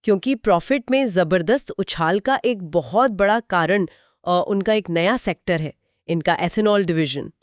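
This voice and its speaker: A woman's voice: lowish voice, unhurried, dry recording. Inquisitive woman co-hosting, close dry sound. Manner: inquisitive, mixes English terms into speech. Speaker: co-host of a financial explainer discussion. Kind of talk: conversational explainer dialogue.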